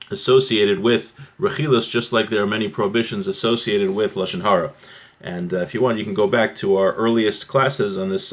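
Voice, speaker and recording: A man teaching an informal religious class, reading out phrases from a text and explaining them step by step, often rephrasing and posing questions that he answers himself. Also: fairly low pitch. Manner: explanatory, repeats and rephrases points, asks and answers own questions.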